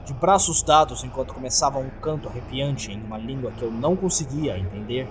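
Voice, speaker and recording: A man's voice: medium pitch, brisk. A man with a Brazilian accent reads a scripted horror story as a dramatic narrator. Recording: faint background noise.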